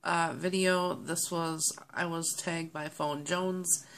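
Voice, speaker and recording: A woman in her thirties chatting casually to her webcam, sounding nasal and stuffy from a cold, rambling with many ums and pauses. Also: fairly low pitch, steady tone, fairly bright tone. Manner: rambling, friendly, with many ums and throat clearing. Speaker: vlogger. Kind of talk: casual chat to camera. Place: small home office, indoors. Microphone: webcam at desk.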